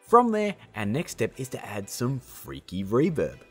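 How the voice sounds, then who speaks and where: Male how-to host, casual and upbeat, highly varied intonation, a touch of rasp. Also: huge pitch swings, measured pace, a little mellow, faint music behind. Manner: upbeat, chummy, addressing viewers as guys and gang. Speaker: tutorial host. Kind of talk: casual how-to tutorial narration.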